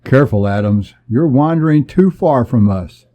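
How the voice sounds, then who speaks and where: A man's voice: medium pitch, expressive, normal speed, lo-fi sound, natural voice. A man voicing a commanding, wary space captain who gives urgent orders and warnings in an adventure story. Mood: stern, wary.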